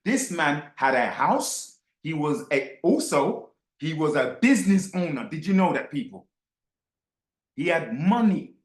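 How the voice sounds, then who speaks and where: A man's voice: on the high side, sing-song, unhurried. A man giving impassioned off-the-cuff commentary to his followers, emphatic, repeating phrases and throwing rhetorical questions at listeners. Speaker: book club host. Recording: roomy, echoey sound.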